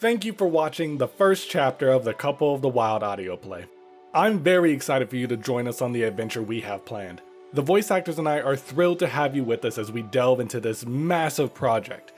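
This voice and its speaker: A man's voice: mid-range voice, lively intonation, brisk. A man reading a scripted outro as the creator of an audio play, addressing listeners directly. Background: light music.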